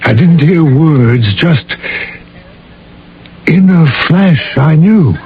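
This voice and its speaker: An actor playing a grave, concerned government man in a radio drama, speaking in a measured, solicitous way. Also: on the high side, expressive, a little hiss or hum, exaggerated voice. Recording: tinny old radio broadcast.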